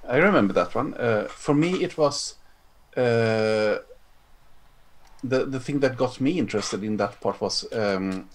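A man's voice: mid-range voice, animated, measured pace. A man from Sweden, a game designer guest in a casual podcast interview, talking hesitantly with many fillers.

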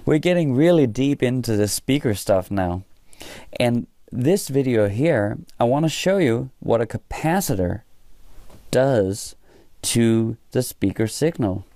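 Slow, gravelly man explaining hands-on. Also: mid-range voice, animated, mellow, dry recording, studio quality. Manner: halting, pausing mid-sentence. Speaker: presenter of a hobby electronics tutorial. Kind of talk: informal hands-on technical explainer.

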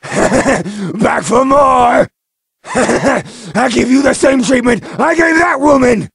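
A male actor playing a huge, brutish, sadistic fighter in an anime fighting game, gravelly and shouting in crude broken sentences. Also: very high voice, expressive, slow, dry recording, clean studio sound, exaggerated voice. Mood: menacing, gloating. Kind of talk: over-the-top anime fighting game battle lines.

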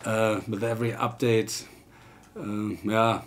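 A middle-aged man whose first language is German speaks English with a German accent. He explains his work in a home music studio in a relaxed, unhurried way. He often pauses and fills gaps with "uh" and "um". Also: fairly low pitch. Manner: relaxed, hesitant with many fillers. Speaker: guitar tutor. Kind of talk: casual tutorial talk.

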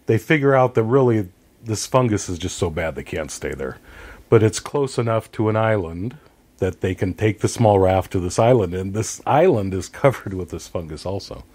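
A man with a fairly low voice speaks as a guest in a casual discussion. He explains things conversationally and somewhat haltingly, backing up to correct himself along the way. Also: animated, a little mellow. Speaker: podcast guest. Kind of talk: casual conversational movie discussion, retelling a story.

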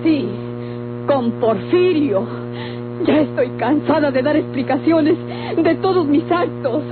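An actress playing an anguished, defiant daughter in a Mexican radio drama, speaking with melodramatic pleading in a Mexican accent. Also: female voice, high voice, slow. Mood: weary, firm, then exasperated, anguished. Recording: tinny, humming old radio recording with music.

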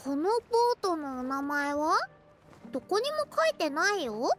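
Bubbly little kid girl from a game, high voice, curious, music behind. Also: big pitch swings, unhurried, fairly bright tone, full character voice. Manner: childlike, curious. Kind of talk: Japanese game voice acting.

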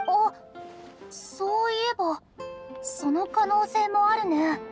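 An earnest girlish scholar from a game, with a very high voice. She is gentle, precise and thoughtful, with music playing behind. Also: slow. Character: earnest, studious, soft-spoken young female researcher. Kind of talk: Japanese game voice acting.

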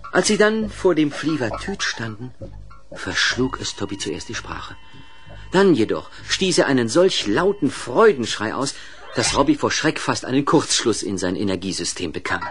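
A man narrating a children's radio play in an expressive, scripted storytelling style. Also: fairly low pitch. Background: music.

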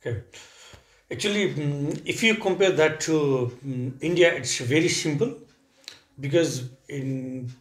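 A man in his thirties from Nepal, a surgeon, answers questions on a living-room sofa. His voice sits somewhat high, and he speaks calmly and matter-of-factly, humming between phrases. The camera mic picks up a clear room echo. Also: unhurried. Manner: calm, matter-of-fact, with frequent hums. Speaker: surgeon and interview guest. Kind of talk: casual conversational interview. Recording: echoey room.

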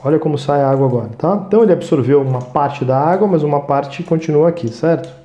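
A man in his thirties with a Brazilian accent explains a recipe in a chatty, viewer-friendly way. His voice is mellow and relaxed, and he is picked up by a lapel mic in a small, echoey studio kitchen. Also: a little high, quick pace, lo-fi sound. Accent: Brazilian Portuguese. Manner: chatty, talks to viewers as "gente", frequent "tá?" checks. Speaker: cooking show host. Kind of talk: cooking demonstration.

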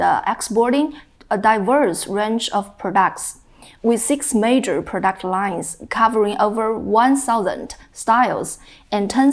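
A woman company executive answering interview questions carefully, with some hesitations, in an office. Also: on the high side. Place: office indoors.

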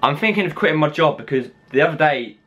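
Bright-voiced young Englishman, casual and rambling. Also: a little high, animated, quick pace. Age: young adult. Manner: hesitant, rambling, slangy. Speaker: prank caller, video host. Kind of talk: casual improvised chat. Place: small room at home.

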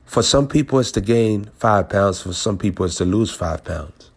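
A male preacher with a very low, husky voice delivers prophetic teaching. He speaks deliberately in short maxims. Key phrases come back a second time for emphasis. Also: lively intonation. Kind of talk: prophetic teaching over a phone call.

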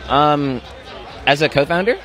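A man's voice: a little high, unhurried, fairly bright tone, soft background music, some chatter behind. A man in his twenties giving casual answers in an outdoor interview on a handheld mic. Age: 20s. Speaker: interviewee, tech start-up co-founder. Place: outdoors at a conference.

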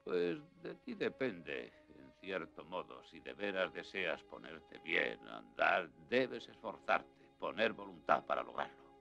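An actor voicing a gruff but gentle old grandfather in a cartoon dub, with an earnest, measured delivery. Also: low voice, big pitch swings, dull audio, faint music behind, heavily acted. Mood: earnest, encouraging. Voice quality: gravelly. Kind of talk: dubbed cartoon acting.

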